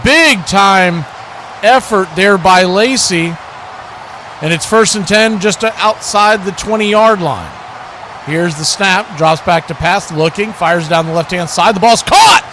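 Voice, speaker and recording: A male sports announcer with a notably high-pitched voice delivers live football play-by-play. He works in short, clipped phrases that follow the action. A clear layer of noise sits behind his voice. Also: slow pace. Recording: clear steady background noise.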